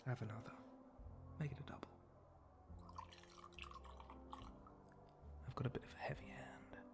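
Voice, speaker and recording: A man voicing a flirty, teasing spider-monster bartender in an intimate ASMR roleplay, breathy and often close to a whisper, with a languid, suggestive way of talking. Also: medium pitch, steady tone, lightly acted. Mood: teasing, attentive. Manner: slow, languid, suggestive drawl with pauses and hums.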